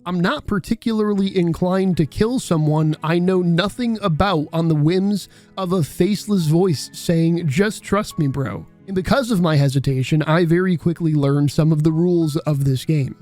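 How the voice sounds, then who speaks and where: A male video essayist with a slightly high voice narrates from a script. He sounds conversational and irreverent, swearing freely, over a soft music bed. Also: normal speed, hardly any pauses.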